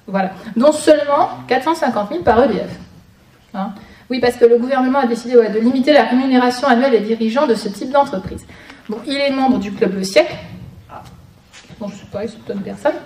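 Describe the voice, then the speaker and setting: High-voiced woman lecturing in an echoey room. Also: brisk, darkish tone. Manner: wry, sarcastic asides. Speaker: guest lecturer, author. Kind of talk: informal public lecture. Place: conference room before an audience. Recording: echoey room, distant mic, noisy.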